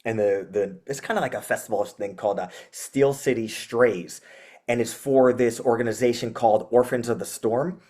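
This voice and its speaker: A man's voice: medium pitch, moderate pace, a little mellow. A man, a metal band frontman, chatting as a podcast guest, rambling and laughing, with many filler words. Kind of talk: casual interview chat.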